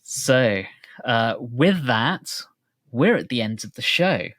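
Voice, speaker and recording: A man's voice: medium pitch, expressive, takes it slowly, fairly bright tone, dry recording. A man co-hosting a podcast in a casual, chatty style. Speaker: podcast co-host.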